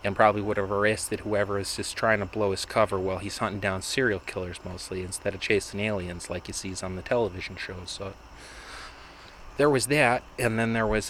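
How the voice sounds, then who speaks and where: Male vlogger, low-set voice with a sharp edge, wanders and stumbles through long halting asides. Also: a little hiss or hum. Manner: meandering, halting, many restarts and repeated words. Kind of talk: rambling monologue to camera.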